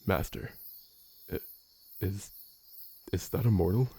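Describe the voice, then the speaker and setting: Loyal servant of a vampire master, male actor, ASMR drama. Low, husky, formal, deferential. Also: slow pace, mellow, exaggerated voice. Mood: anxious, consoling. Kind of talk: ASMR roleplay acting.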